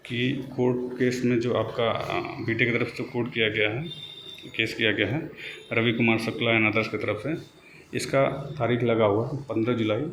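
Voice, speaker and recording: A man giving an informal explanatory update to viewers as an online host, in a halting, repetitive way with drawn-out words. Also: mid-range voice, a little hiss or hum. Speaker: YouTube host giving exam and recruitment updates.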